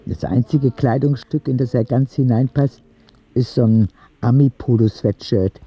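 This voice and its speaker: Guy acting frank kid narrator in a radio play, standard german, wry and chatty, bit husky, slightly dull sound. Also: mid-range voice, normal speed, natural voice. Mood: cheeky, matter-of-fact. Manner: candid, wry, conversational storytelling. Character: ten-year-old sick boy Oskar, frank, cheeky, telling his story. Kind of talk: audio drama narration as letters to God.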